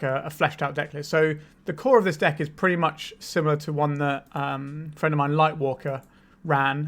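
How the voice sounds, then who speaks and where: A man with a slightly higher than usual voice talks through a card game in a relaxed, explanatory way, thinking aloud as he goes. He has a cold and sounds a little tired. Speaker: channel host, card game player. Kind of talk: casual gameplay commentary.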